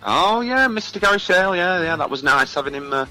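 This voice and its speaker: Bright, animated male musician. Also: high-pitched, fairly quick, soft background music. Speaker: musician being interviewed. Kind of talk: casual chatty radio interview.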